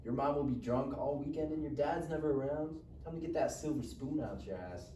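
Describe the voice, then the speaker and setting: A man's voice: on the high side, fairly quick, dark tone, close to an everyday voice. A man playing a cocky, slangy, foul-mouthed teenage boy who needles his buddy in a live comedy table read. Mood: taunting. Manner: slangy, taunting. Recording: roomy, distant mic, faint noise.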